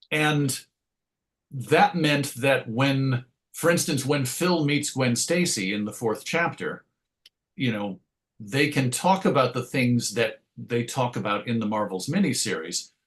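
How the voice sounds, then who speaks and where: A man's voice: medium pitch, a bit bright. A man answering as an interview guest in a conversational way, thoughtful and inclined to explain things through analogies. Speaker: interview guest, writer and radio host.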